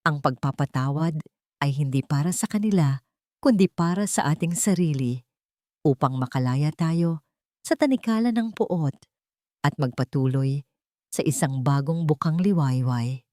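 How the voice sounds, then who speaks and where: A woman narrating a scripted story in an earnest, measured and emotive way. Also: low voice, a little mellow, no echo, studio quality. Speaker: audiobook narrator.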